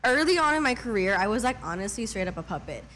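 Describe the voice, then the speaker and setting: A woman's voice: fairly high pitch, fast, slight background noise. Chatty young woman in her twenties. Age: 20s. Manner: relaxed, chatty, lots of "like" and "mm-hmm". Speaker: singer guest. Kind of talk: casual interview chat.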